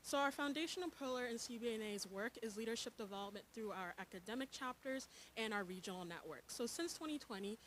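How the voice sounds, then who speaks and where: A woman with a soft voice, a nursing lecturer presenting at a panel, earnest and dotted with ums and uhs. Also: mid-range voice, a little hiss or hum, rough recording. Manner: earnest, with frequent um and uh fillers.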